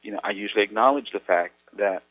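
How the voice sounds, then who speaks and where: A Mexican American man, an educator, explaining things earnestly in a measured way with thoughtful pauses. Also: fairly low pitch, no echo. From United States. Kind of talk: explanatory talk on radio. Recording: tinny phone-like radio audio.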